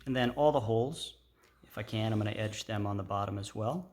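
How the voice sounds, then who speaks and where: A middle-aged man giving a casual, unhurried hands-on tutorial in an informal, explanatory way. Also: fairly low pitch, a little mellow. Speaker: craft tutorial host. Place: indoor workshop at a workbench. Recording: clear background noise with clicks.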